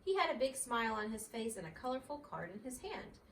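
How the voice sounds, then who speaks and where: A woman's voice: a little high, big pitch swings, a little fast. A woman narrating a children's storybook aloud expressively, as a mother reads. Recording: roomy, distant mic, faint noise.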